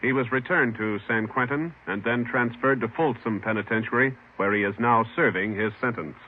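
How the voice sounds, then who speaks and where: A man's voice: mid-range voice, moderate pace, heavy background noise. A man with an American accent announcing in a formal, official 1950s radio style. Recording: tinny old radio recording.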